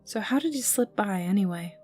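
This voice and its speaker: A woman playing a menacing, teasing young enforcer for a crime boss in an ASMR roleplay, taunting the listener in a breathy voice that dips toward whispers. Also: medium pitch, lively intonation, brisk, darkish tone, lightly acted. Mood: curious, teasing. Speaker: voice actor in audio roleplay. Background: ambient music and mild sound effects.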